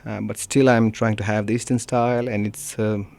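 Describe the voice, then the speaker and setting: A man from Nepal with a Nepali accent, a musician talking casually in an interview, often pausing to search for words. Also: on the low side, level tone, creaky, darkish tone, no echo. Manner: halting, searching for words, frequent "you know". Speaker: musician being interviewed, guitarist. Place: radio studio.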